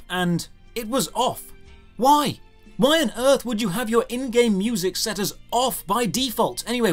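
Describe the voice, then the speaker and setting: A man hosting a scripted comedic game review, with a dry, sardonic and emphatic delivery. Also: high-pitched. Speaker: video host, game reviewer.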